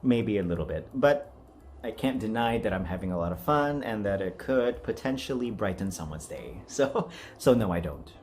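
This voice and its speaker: A man's voice: fairly low pitch, lively intonation, normal speed, a little mellow, soft background music. A man hosting a casual voice acting challenge, chatty, giggly and self-deprecating. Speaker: amateur voice acting challenge host.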